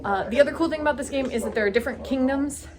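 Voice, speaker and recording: A woman's voice: high-pitched, racing, crowd noise. A woman chatting enthusiastically and casually as the host of a group recap vlog.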